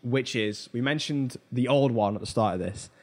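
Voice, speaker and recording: A man's voice: medium pitch, normal speed, a little mellow. A man co-hosting a pop culture talk show, discussing things in a casual, conversational way. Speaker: podcast co-host.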